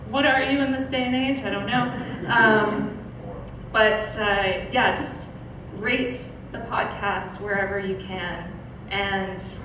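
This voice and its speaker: A young woman giving an informal speech as host, casual, hesitant and a little self-deprecating. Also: medium pitch. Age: young adult. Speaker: podcast producer hosting launch event. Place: small theatre stage, indoors. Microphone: stand mic, picked up by distant camera. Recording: very echoey, noisy hall from far off.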